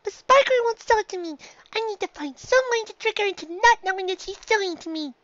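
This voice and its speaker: A woman's voice: extremely high pitch, expressive, fast, fairly bright tone, dry recording, dull audio, pitched above the performer's own voice. A woman voicing an earnest, sweet little girl pony from a story, with a childlike, emphatic way of talking. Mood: determined.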